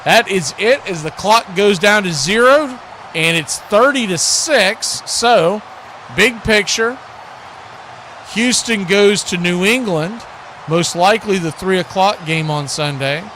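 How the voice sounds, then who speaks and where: A man's voice: sits high, takes it slowly. A man hosting a live football watch party, giving play-by-play in a folksy, conversational way. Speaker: play-by-play host. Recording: steady background noise.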